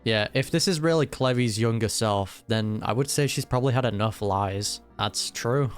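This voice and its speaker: A man's voice: medium pitch, moderate pace. A man giving casual reaction commentary on a video game, informal and thoughtful, with blunt wording. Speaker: gaming reaction host. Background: game music.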